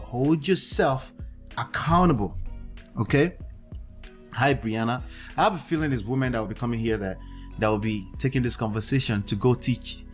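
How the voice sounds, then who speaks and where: A man with a Nigerian accent speaks in a middle register as he hosts his own livestream. He lectures in an instructive tone and keeps checking in with his listeners. Faint music runs underneath. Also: mid-range voice. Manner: lecturing, checks in with "okay?" and "right?". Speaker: livestream host. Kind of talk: livestream commentary.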